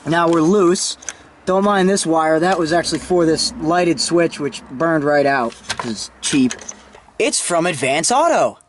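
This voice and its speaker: Man, high pitch, casual DIY how-to narration, informal, working while talking, in-vehicle recording, faint background noise. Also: expressive, unhurried. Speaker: DIY car installation vlogger. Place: inside a Jeep, handheld camera.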